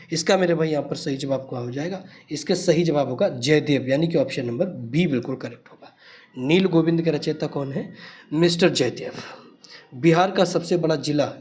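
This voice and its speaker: Higher-pitched male coaching teacher. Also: a little mellow. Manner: emphatic, repeats key points, addresses students as brother. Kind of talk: quiz lesson explanation for exam students.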